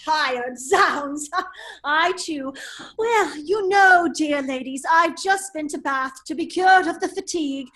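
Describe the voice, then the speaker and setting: A woman playing a witty, foppish English gentleman with a secret life, in an affected drawling manner in an amateur drama reading. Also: high voice, takes it slowly, full character voice. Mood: foppish, teasing. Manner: affected, languid, drawling, exclaiming.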